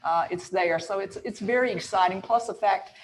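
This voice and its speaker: A woman's voice: medium pitch, moderate pace, slight background noise. A woman in her sixties speaking candidly and emphatically on a panel about her career. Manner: emphatic and candid, laughs between points. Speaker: real estate agent on a panel. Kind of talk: panel talk, personal story.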